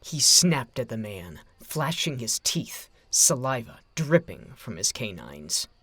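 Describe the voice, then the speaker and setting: A man narrating a scripted story in a dramatic, expressive storytelling manner. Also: mid-range voice, slow, dry recording.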